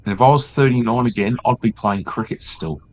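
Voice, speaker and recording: A man's voice: mid-range voice, brisk, rough recording. An older man, chatting in a friendly way and telling family stories. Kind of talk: casual chat.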